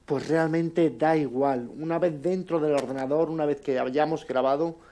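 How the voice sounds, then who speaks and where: A man with an accent from Spain giving an informal conference talk in a halting, conversational way. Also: on the high side, fairly even intonation, mellow. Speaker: conference speaker, amateur audio drama producer.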